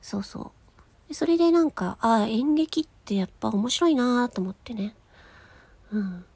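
A woman chatting casually on her own as a podcast host, in a giggly, chatty manner that trails into breathy asides. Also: a little high, moderate pace, warm tone, no room sound. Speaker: podcast host and amateur voice actress. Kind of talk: casual solo chat.

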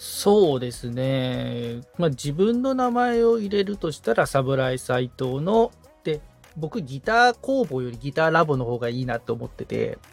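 A man's voice: mid-range voice, very animated, normal speed, vocal fry, soft background music. A man speaking as a podcast assistant, thinking aloud tentatively in a casual, reflective discussion. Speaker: podcast assistant, guitar repairman being coached.

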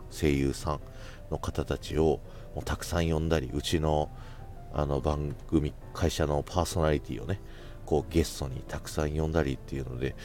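A man's voice: rumbling low, warm tone. A man in his thirties hosting a casual solo audio show, talking conversationally and thinking aloud as he goes. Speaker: podcast host who works in radio sales.

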